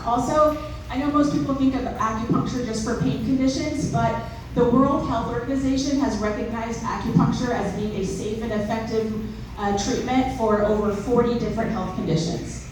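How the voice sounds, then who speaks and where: A woman's voice: fairly high pitch, fairly even intonation, moderate pace. A woman acupuncturist who grew up in Southern California, chatty and upbeat, laughing often as she explains procedures step by step to a small audience. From born in Israel, grew up in Southern California. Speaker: acupuncturist and naturopathic doctor. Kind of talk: casual presentation and demonstration. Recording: echoey room, voice from a distance, noisy.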